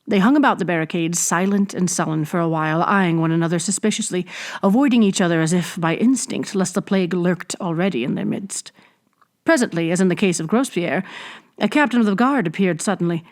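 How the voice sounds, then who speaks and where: A woman reading a classic adventure novel aloud as an expressive storybook narrator. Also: lowish voice, fairly quick. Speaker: audiobook reader.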